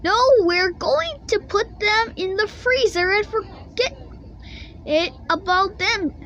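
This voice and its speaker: Woman playing mother bear, firm, admonishing, high voice, slow storybook delivery. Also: a bit bright, lo-fi sound, slight background noise, heavily acted.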